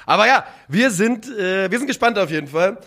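Chatty higher-voiced podcast host. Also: male voice, expressive, pushed and bright. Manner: chatty, laughing, quick asides. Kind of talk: casual football podcast chat.

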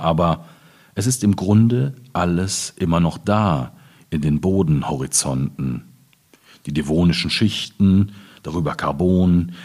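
A man from Germany's Ruhr region, a poet at a literary reading, speaking in a husky, deliberate, measured way. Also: sits low, a little mellow. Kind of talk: poetry reading with informal commentary.

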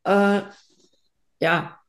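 A woman co-hosting a podcast, chatting casually and reflectively, thinking aloud as she goes. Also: drawn-out words. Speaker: podcast co-host. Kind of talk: casual conversational podcast chat.

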